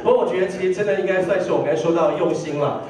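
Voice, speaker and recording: A man's voice: high voice, rapid-fire, a crowd in the background. A man co-hosting and interviewing award winners in an upbeat way. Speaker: award backstage co-host, interviewer. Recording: very echoey hall, distant mic.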